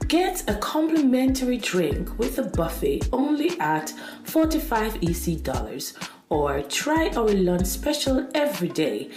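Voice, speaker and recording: A woman's voice: mid-range voice, big pitch swings, unhurried. A woman announcer reading a scripted promotional advert. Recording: very roomy, echoing sound. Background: faint music.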